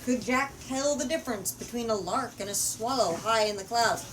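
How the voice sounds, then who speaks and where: A woman's voice: a little high, normal speed. A woman leading a book talk, reading a story aloud and explaining it emphatically with questions. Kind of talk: storytelling and literature discussion. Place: bookstore. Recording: distant mic in noisy room.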